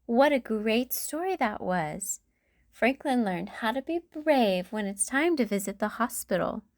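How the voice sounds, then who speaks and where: A woman's voice: a little high, expressive, measured pace, darkish tone, dry recording, clean studio sound. A woman hosting a read-aloud, narrating a children's storybook expressively. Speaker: storybook reader and channel host.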